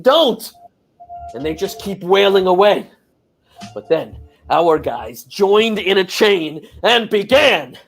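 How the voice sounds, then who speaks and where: A man's voice: high-pitched, full character voice. An actor playing a rowdy male football fan who narrates coarsely and boastfully. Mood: grim.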